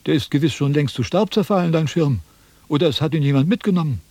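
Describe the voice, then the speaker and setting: An actor playing a self-important, wordy wandering philosopher in a declamatory, quibbling radio drama style. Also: male voice, fairly high pitch, fast talker, dark tone, no echo, lightly acted. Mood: serene, curious. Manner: declamatory, pompous, sophistical. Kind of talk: theatrical German radio drama acting.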